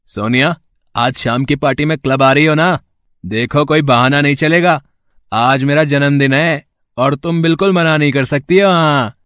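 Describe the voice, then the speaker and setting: An actor playing a spoiled, sly young rich college man in a cartoon story, smooth-talking and pushy. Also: on the high side, moderate pace, fairly bright tone, lightly acted. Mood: persuasive. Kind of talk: Hindi moral-story cartoon acting.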